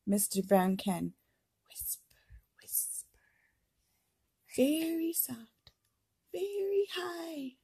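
A woman's voice: very high-pitched, very animated, extremely slow, pauses often, warm tone. An older woman reading a picture book aloud as a grandmother to a young child, playfully drawing out sound words and coaxing the child to repeat. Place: home indoors, beside a patchwork quilt. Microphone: handheld phone or camera close by.

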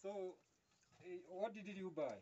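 A man's voice: high voice, slow pace, dark tone, lo-fi sound, a little hiss or hum. A middle-aged man playing a contractor boss in an improvised comic chat, questioning and teasing, recorded outdoors in a construction yard. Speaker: contractor boss in a comedy sketch.

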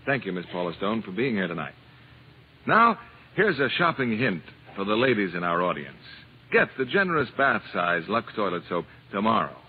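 A man's voice: on the low side, sing-song, moderate pace, slight background noise. A man announcing a scripted advertisement in a 1950s radio style. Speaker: commercial announcer. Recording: muffled old radio broadcast.

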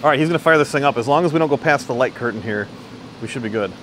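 Just a quick-talking guy in his 30s filming in a big metal shop, upbeat, little bit of background noise. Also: mid-range voice, a bit bright. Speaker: company video host. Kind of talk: casual shop walkthrough vlog. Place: large metal fabrication shop, indoors. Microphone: phone camera, selfie and standing shots.

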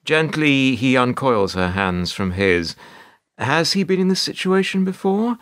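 A wry, put-upon male narrator played by an actor tells a radio play in the first person. His delivery is measured and sardonic, with a lively, swooping intonation at a middling pitch. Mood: wry, dry. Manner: dry, sardonic, measured storytelling.

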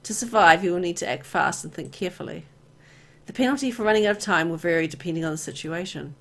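A woman reading visual novel text aloud as expressive, storytelling narration. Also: fairly low pitch, fast. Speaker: video game player and narrator.

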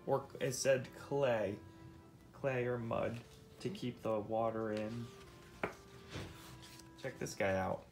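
A man's voice: mid-range voice, fairly even intonation, takes it slowly, long pauses, music in the background. A man reading a nonfiction picture book aloud to his child, explaining and asking questions as he goes. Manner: explains and asks questions as he reads.

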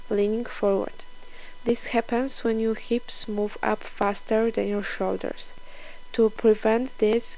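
Slightly creaky young woman. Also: mid-range voice, a little mellow, poor audio. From Poland. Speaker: fitness vlogger. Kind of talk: scripted instructional voice-over.

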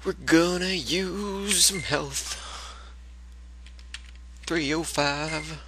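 A man's voice: fairly high pitch, very slow, pushed and bright, a little hiss or hum. A man playing a video game with goofy, exclamatory commentary. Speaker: let's play gamer. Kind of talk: gameplay commentary with read-aloud dialogue.

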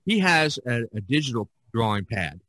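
A man's voice: mid-range voice, sing-song, normal speed, bright, forceful tone, no echo. An older man, a podcast host and painter, talking casually in a rambling, explanatory way.

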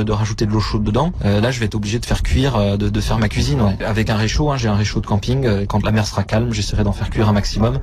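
Young male interviewee, twenties, quick and spontaneous, lowish pitch, stumbling repeats. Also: a little mellow. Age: 20s. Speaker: interviewee, anti-waste activist.

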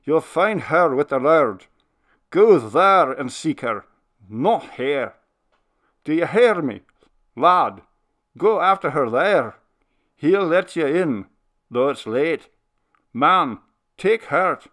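A man's voice: fairly high pitch, sing-song, slow, voice pushed up. A man voicing an old minister who pleads with a ghost, his voice sobbing and commanding by turns. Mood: tender.

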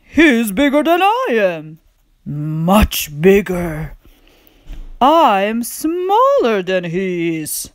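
A woman voicing an earnest, indignant male elephant who protects his friend, read from a children's storybook in a deliberate, emphatic way. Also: mid-range voice, big pitch swings, dry recording, clean studio sound. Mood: daunted.

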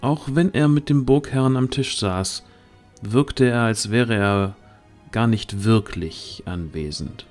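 A man's voice: mid-range voice, slow pace, a little mellow, faint music behind. A man narrating a fantasy audio drama as scripted storytelling.

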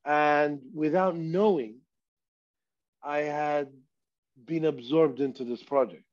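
A man from Saudi Arabia in his thirties, speaking slowly and reflectively as an artist guest on a video call interview, sometimes talking about himself in the third person. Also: a little high, steady tone, a little mellow. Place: small room indoors. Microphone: headset on video call. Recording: dull lo-fi call audio.

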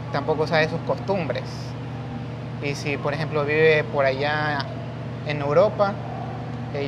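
A man from Ecuador explaining his view calmly in a street interview. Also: a little high, background noise. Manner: reasoned, explanatory. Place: outdoors on a street.

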